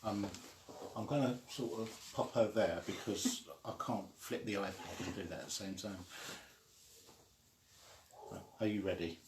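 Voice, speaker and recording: A man reading a children's story aloud in a deliberate, simply phrased way. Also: on the low side, a little hiss or hum. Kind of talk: slow read-aloud storytelling. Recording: echoey room.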